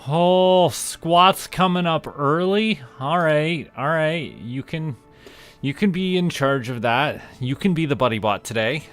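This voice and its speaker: A man chatting casually on a livestream as host, rambling and halting in asides to viewers. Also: a little high, fairly bright tone, faint music behind. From Canada. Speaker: streamer, VTuber host.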